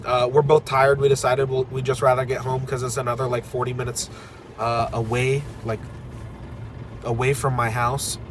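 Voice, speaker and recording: A man's voice: mid-range voice, little pitch movement, moderate pace, background noise. A young man with a stuffy cold, rambling casually through viewer comments on a live stream. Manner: rambling, filler-heavy, candid. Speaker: arm wrestler streaming a live recap.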